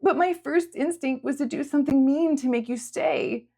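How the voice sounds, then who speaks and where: A woman's voice: sits high, moderate pace, warm tone, voice pushed up. A woman voicing a guilt-ridden, needy young woman in a dramatic audiobook, tearful and pleading. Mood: pleading, upset. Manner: sobbing, pleading, self-accusing. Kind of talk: dramatic audiobook character acting.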